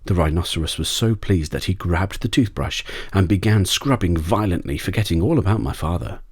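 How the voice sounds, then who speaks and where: A man with a very low voice reads a children's bedtime story in ASMR style. His delivery is soft and measured, and the recording is dry and close. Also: lively intonation, husky, dry recording. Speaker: audiobook narrator.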